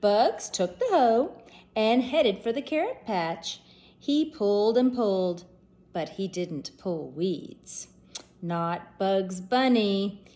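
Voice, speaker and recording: A woman P.E. teacher reading a children's storybook aloud, clear and expressive. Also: medium pitch, slow.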